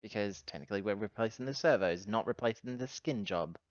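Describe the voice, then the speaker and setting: A woman's voice: extremely low pitch, brisk, dry recording, muffled recording. A woman playing a tabletop roleplaying game in character, with casual, wry, joking banter.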